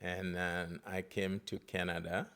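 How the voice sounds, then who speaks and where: A man in his seventies from Haiti, speaking in a halting way with pauses as an interview guest. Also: low voice, level tone, pushed and bright. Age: 70s.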